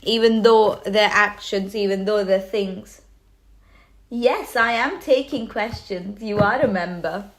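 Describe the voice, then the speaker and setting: A woman's voice: mid-range voice, slow, low-quality recording. A woman hosting a live tarot reading, talking loosely with many fillers and restarts, a cold coming on. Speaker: tarot reader hosting a livestream.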